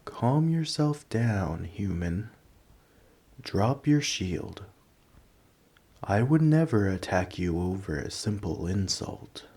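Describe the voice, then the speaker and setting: A man playing a large, ancient, nerdy dragon in an ASMR roleplay, polite and earnest but a little huffy, with a soft voice. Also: lowish voice, sing-song, slow, breathy edge, exaggerated voice. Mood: offended, huffy. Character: large, ancient, nerdy gentle male dragon, politely indignant coin collector. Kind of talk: ASMR roleplay audio drama.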